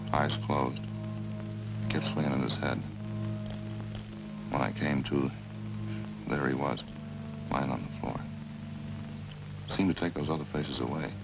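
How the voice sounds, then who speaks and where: Casting: cold-blooded confessed killer, bottom-of-the-range gravelly voice, casual and matter-of-fact, vintage radio drama. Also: male voice, a bass voice, full character voice, poor audio. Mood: haunted, detached. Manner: flat, casual, unhurried confessing. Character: matter-of-fact, remorseless male drifter confessing to killings. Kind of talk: old-time radio crime drama acting. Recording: old radio recording with music behind.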